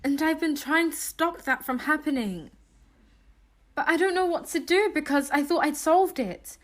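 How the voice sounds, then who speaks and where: High-voiced, desperate young daughter, acted by a woman. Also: natural voice. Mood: grieving, helpless. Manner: emotional, halting, sweary. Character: desperate young time-travelling daughter, grieving and defiant. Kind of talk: audio drama acting.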